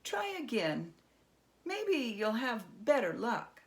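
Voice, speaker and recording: A sly storybook trickster, a man played by a woman, talks in an unhurried, gravelly-edged voice. He toys with his listener as if he has all the time in the world. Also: on the high side, big pitch swings, pitched above the performer's own voice, exaggerated voice. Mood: mock sympathy. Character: sly, unhurried man outwitting a goblin at dreidel. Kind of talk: storybook character voice.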